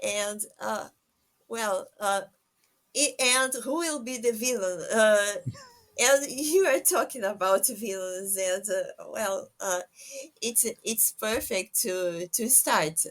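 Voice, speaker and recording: A woman hosts a casual interview at a slow pace. Her voice sits at a middle pitch, and she speaks haltingly, often searching for the next word. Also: a bit bright. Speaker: podcast host and interviewer.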